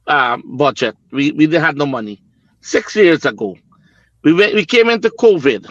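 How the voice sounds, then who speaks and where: Higher-pitched Guyanese man, muffled dry sound. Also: fairly bright tone, no echo. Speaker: business executive, panel guest. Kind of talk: political opinion on an online panel discussion.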